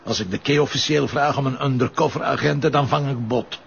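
A man's voice: medium pitch, fairly even intonation, a little fast, gravelly, lightly acted. A man with a Flemish accent acting as a decisive, stubborn police commissioner in a television crime drama, curt and authoritative. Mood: businesslike. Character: decisive, stubborn male police commissioner running a risky plan. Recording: dull lo-fi audio with faint noise.

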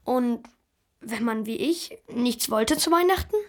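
A woman's voice: fairly high pitch, lively intonation, a little slow. A woman playing a wary, questioning young child from a rural family in a radio play. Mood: doubtful.

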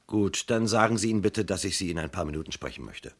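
An actor playing a composed, methodical police inspector in a German radio crime drama, asking probing, businesslike questions. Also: male voice, low-pitched, fast talker, husky. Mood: brisk, instructing. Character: composed, methodical British police inspector leading a murder inquiry.

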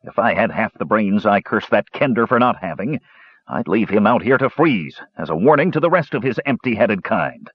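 A man voicing a grumpy old male dwarf in an audiobook, gruff and quick to curse. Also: on the low side, animated, dry recording, lo-fi sound. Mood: grumbling. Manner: gruff and grumbling.

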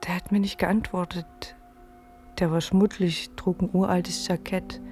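An actress in a radio play playing an anxious, lonely woman who speaks haltingly and repeats herself. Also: fairly low pitch, little pitch movement, a little mellow, faint music behind, natural voice. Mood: lonely, confessional. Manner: agitated, repeats words, halting. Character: anxious lonely woman living alone in a rundown flat. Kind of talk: German radio play acting.

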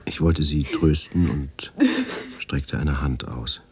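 An actor playing a grave man remembering his boyhood, narrating a German radio drama in a measured, restrained, hushed way. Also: steady tone, exaggerated voice. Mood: grave, reflective. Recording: echoey room with low rumbling noise.

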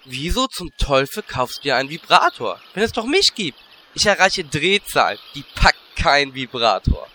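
Guy doing a cheeky horny little gnome, highish sharp voice, comedy audio play, kinda noisy. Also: sing-song, normal speed. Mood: lecherous. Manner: cheeky, brash. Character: cocky lecherous little male gnome adventurer. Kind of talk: comedy audio drama acting.

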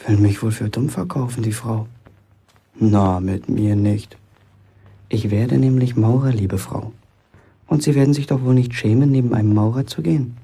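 A young village apprentice bricklayer in a radio play, eager to impress. His voice is gravelly and mellow, with a husky edge. He talks colloquially and boastfully, as if confiding his own importance. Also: mid-range voice. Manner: boastful, chatty inner monologue, colloquial. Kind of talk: German radio play acting, first-person monologue.

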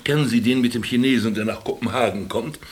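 A man's voice: medium pitch, fast, natural voice. An actor playing a sardonic former businessman in a radio play, speaking with dry irony and a theatrical, lecturing manner. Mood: joke-telling. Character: sardonic former businessman stranded in a camp, teasing his companion. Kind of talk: German radio play dialogue.

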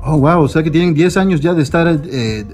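A man's voice: a little high, animated, brisk, a little mellow, soft background music. A man hosting a radio interview, enthusiastic and hesitant, with many fillers and restarts. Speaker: radio host.

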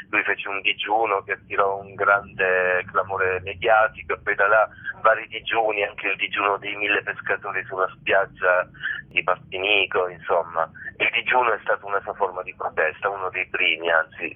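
A man being interviewed as a stage actor, talking haltingly as he searches for words over a phone call. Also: low voice. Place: on a phone call to a radio station. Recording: muffled narrow telephone line.

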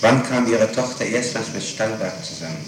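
A man's voice: lowish voice, normal speed. A man questioning a witness as a police interrogator, formal, probing and pedantic, recorded on an old 1960s tape. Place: reverberant room, far from microphone. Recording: noisy, echoing 1960s interrogation tape, distant.

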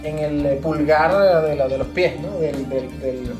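A woman's voice: low-pitched, unhurried, echoey room, over music. A woman with a Venezuelan accent chatting casually as a podcast co-host, explaining things through examples.

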